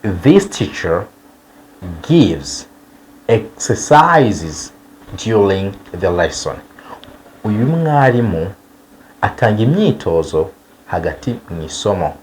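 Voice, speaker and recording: A low-voiced man teaching a language lesson, his pitch rising and falling a lot as he stresses each word. He draws out the model sentences slowly and repeats them for learners, over a faint hiss of noise. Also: sing-song, a little mellow, low-quality recording. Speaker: English teacher.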